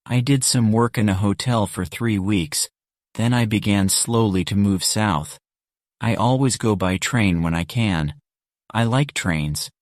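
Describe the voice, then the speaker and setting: A man reading a scripted story for English learners as narrator, measured and clearly enunciated. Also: lowish voice, no room sound, clean studio sound. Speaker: audiobook narrator.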